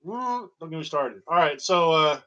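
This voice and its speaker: A man telling a personal story in a halting, digressive monologue with a wry, emphatic manner. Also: a little high, animated, sharp tone, lo-fi sound. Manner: halting, digressive, wry, chuckling at grim details. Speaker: storyteller and host. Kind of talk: rambling personal monologue about own medical history.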